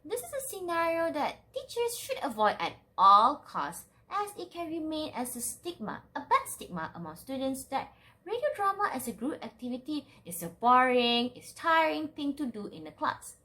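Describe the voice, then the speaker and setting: A young woman with a Malaysian accent presents from a script. Her voice sits high and moves up and down a lot. She reads formally and with care. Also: sing-song, moderate pace, sharp tone, low-quality recording. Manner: formal, carefully read. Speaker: student presenter.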